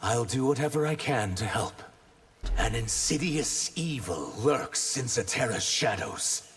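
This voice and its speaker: An actor playing an earnest, guarded young shapeshifting warrior in a video game. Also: male voice, medium pitch, expressive, a little slow, slight background noise, close to an everyday voice. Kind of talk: video game character dialogue.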